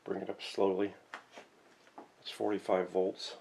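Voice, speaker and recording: Low-voiced older man, gravelly. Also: flat delivery, unhurried, darkish tone, slight background noise. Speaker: host of a vintage radio restoration channel. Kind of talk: casual hobby workshop vlog. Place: cluttered indoor home workshop.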